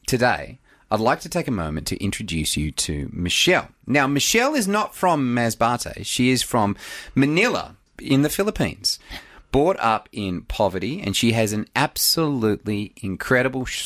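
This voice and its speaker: A man's voice: mid-range voice, very animated, moderate pace, a bit bright. A man hosting a church podcast, introducing his guest with enthusiasm. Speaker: podcast host.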